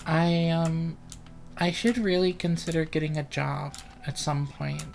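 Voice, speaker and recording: A let's player giving casual, rambling gaming commentary, profane and deadpan, with keys clicking. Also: medium pitch, measured pace, creaky, soft background music. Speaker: let's play host.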